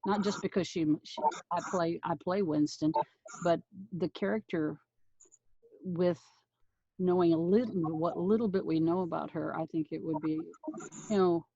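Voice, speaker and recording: A woman chatting on a voice actors' panel in a tentative, halting way. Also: on the low side, fairly even intonation, dark tone, no room sound, highs cut off. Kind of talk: casual panel chat.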